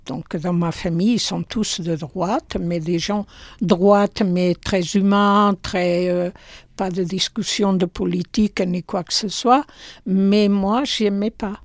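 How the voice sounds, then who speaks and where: Older woman, Portuguese accent, slow hesitant speech, dry close sound. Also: medium pitch, fairly even intonation, no echo. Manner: slow, hesitant, with many fillers. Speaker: shopkeeper. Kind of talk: interview in her shop. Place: small shop indoors.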